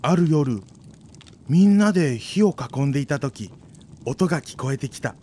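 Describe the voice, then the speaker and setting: An actor playing a gentle, devoted young Jomon-era village man in a radio drama. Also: on the high side, lively intonation, normal speed, a little hiss or hum, lightly acted. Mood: reminiscing. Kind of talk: radio drama acting with storytelling narration. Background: instrumental music.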